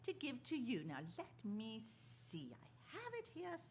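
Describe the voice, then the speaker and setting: A woman acts out a helpful London housekeeper in a radio play. She is chatty and politely deferential, with a fairly high voice that rises and dips freely. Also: huge pitch swings, a little slow, slight background noise, exaggerated voice. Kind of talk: theatrical radio drama acting.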